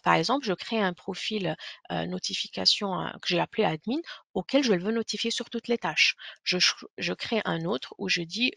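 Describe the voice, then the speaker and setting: Woman, webinar presenter, explanatory, hesitant pauses, creaky, dry close sound. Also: mid-range voice, fairly bright tone, no room sound. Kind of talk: software demo walkthrough.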